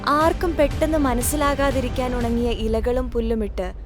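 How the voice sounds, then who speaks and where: High-pitched woman narrating over music. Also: steady tone, normal speed. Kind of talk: scripted children's storytelling. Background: clear background music.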